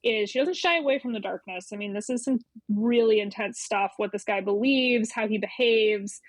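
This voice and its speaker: A woman's voice: mid-range voice, normal speed, dry recording. A woman speaking as an interview guest, an editor explaining things in a casual, thoughtful, conversational way with some emphatic repetition.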